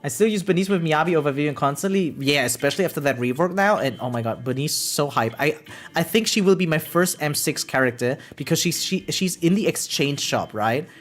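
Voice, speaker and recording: An excitable gaming streamer chatting casually and slangily on a livestream, swearing freely. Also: medium pitch, brisk. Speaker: variety game streamer. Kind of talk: casual livestream chat about gacha games. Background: background music.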